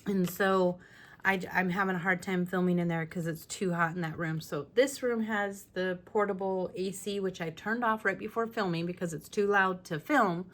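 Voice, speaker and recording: A woman with a lingering raspy voice talking casually through a scrapbooking tutorial at home, with chatty, apologetic asides while she works. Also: fairly low pitch, fairly even intonation, normal speed. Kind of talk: casual crafting tutorial talk. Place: home craft room. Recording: faint room noise from fan. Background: fan running.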